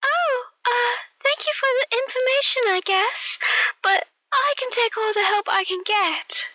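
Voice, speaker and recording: A woman's voice: very high-pitched, measured pace, sharp tone, no room sound, dull audio, voice pushed up, full character voice. A woman voicing a lost, flustered young newcomer, easily exasperated, in an amateur radio play.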